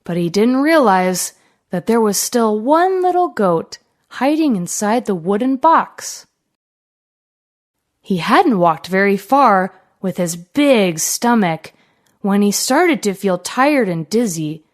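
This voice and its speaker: A woman narrating a fairy tale for children in an expressive, deliberate storytelling manner. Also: mid-range voice.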